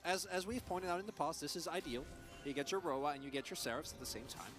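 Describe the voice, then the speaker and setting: A man from the Netherlands, a native Dutch speaker, commentating live on an esports match with animated, wry banter. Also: on the high side, fast. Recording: music behind the voice. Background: music.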